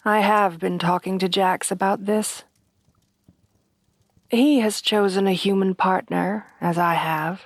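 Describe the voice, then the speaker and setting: A woman playing a refined, tender headless horsewoman bride in a soft-spoken ASMR roleplay, with formal, genteel phrasing. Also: mid-range voice, steady tone, slow, no room sound. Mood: confiding. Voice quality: soft, breathy at times.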